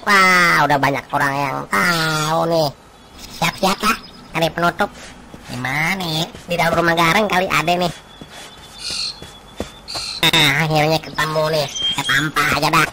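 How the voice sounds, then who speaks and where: A man voicing a chatty, excitable, hapless village freeloader in a comic cartoon, grumbling and exclaiming in colloquial speech. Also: on the high side, moderate pace, long pauses, noisy background, close to an everyday voice. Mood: flustered.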